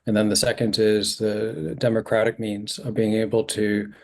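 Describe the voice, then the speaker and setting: A middle-aged man giving an earnest, measured submission to a committee over a video call, with frequent ums and restarts. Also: on the low side, little pitch movement, vocal fry. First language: not originally from New Zealand.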